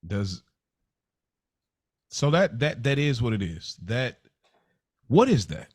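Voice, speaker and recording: An American man co-hosting a podcast, thinking aloud in a halting, informal way as he chats and asks questions. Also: mid-range voice, sing-song, a little mellow, no echo. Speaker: podcast co-host. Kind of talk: casual chat, probing questions.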